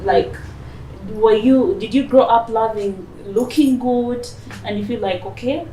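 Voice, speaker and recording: A woman hosting a talk show, asking questions in a chatty, halting way. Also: fairly high pitch, warm tone. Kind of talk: casual interview hosting. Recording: echoey, distant mic, noisy.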